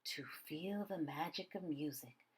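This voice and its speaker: A woman voicing a gentle, courteous man with a folksy, measured manner in a storybook. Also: low voice, breathy edge, heavily acted. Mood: hushed, dreamy. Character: courtly, gentle blind man, dreamy music lover.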